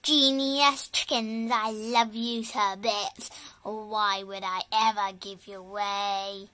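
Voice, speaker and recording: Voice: medium pitch, fairly even intonation, slow pace, bright, forceful tone, no echo. A young storyteller acting out an amateur toy puppet play in a theatrical, exclamatory way.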